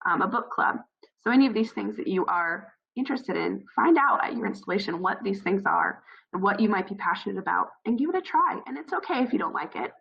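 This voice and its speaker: A woman's voice: mid-range voice, gravelly, breathy edge, highs cut off. A woman with a scratchy, froggy voice from a cold, earnestly giving encouraging advice with frequent fillers. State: hoarse from a cold. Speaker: speaker advising new military spouses. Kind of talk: informal advice talk.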